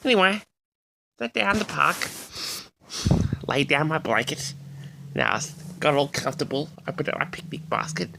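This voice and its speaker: A man telling a story to his audience as a vlog host, in a theatrical, indignant manner with dramatic pauses. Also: on the high side, big pitch swings, creaky, background noise. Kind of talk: storytelling monologue addressed to the audience.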